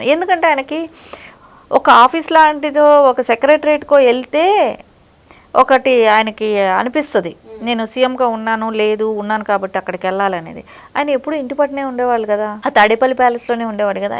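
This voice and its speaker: Woman doing political commentary, opinionated, critical, rambles on conversationally, normal pitch. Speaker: political analyst interviewed as guest.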